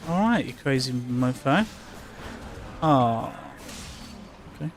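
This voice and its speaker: A man's voice: mid-range voice, expressive, slow, soft background music. A man giving casual live gameplay commentary to himself in short, muttered, sweary reactions. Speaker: game streamer. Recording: faint background noise.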